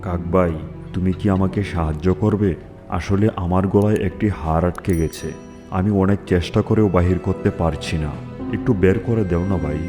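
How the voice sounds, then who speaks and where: A sly, boastful lion played by a man speaks in a very low voice, coaxing and scheming his way along. It is a fable cartoon, with clear music running underneath. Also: steady tone, normal speed, close to an everyday voice. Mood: pleading. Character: cunning male lion with a bone stuck in throat. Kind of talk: children's fable cartoon dialogue.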